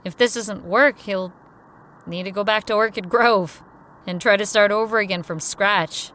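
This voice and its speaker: An actress voicing Kara, a young woman on a road trip, talking in a brisk, teasing, upbeat way. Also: medium pitch, a bit bright, lightly acted. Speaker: lead character. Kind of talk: audio drama acting.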